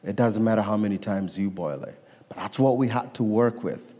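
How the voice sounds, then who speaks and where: A man's voice: lowish voice, a little fast, dark tone. A man with a Kenyan accent giving personal testimony to a church congregation, repeating phrases for emphasis, in a reverberant hall. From Nairobi, Kenya. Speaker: guest speaker giving testimony. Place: church sanctuary, amplified to a congregation. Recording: echoey large hall reverb.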